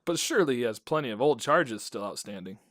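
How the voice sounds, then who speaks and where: A man's voice: medium pitch, lively intonation, fast talker, fairly bright tone, no room sound, studio quality. A man hosting and announcing a scripted radio drama in an interviewer's conversational style. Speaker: host and announcer.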